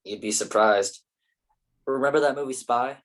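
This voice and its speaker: A man playing a blunt, nitpicking questioner with a husky voice in a naturalistic staged play reading. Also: medium pitch, normal speed, natural voice.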